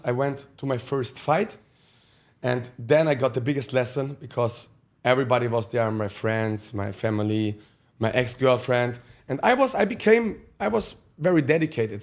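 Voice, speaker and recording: A man's voice: medium pitch, normal speed. A man. He is a podcast guest telling his life story candidly in blunt, profane English with loose grammar. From Austria. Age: 30s. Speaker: guest, fight coach.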